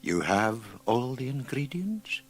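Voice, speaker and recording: An actor playing a sinister, persuasive tempter in a radio drama, insinuating his promises in a husky, creaky voice. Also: medium pitch, very animated, exaggerated voice. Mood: seductive, ominous. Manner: slow, insinuating.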